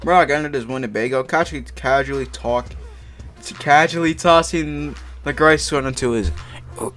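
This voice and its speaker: A man's voice: mid-range voice, moderate pace, fairly bright tone. A man casually reading a story aloud, stumbling over the text and breaking off for mocking, sweary asides. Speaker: amateur fan fiction reader. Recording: music playing behind the voice. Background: background music.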